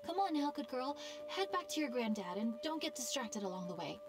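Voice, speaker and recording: A woman voicing a kind lantern maker in a game cutscene, gentle and reassuring, with game music behind. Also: sits high, very animated, fairly quick.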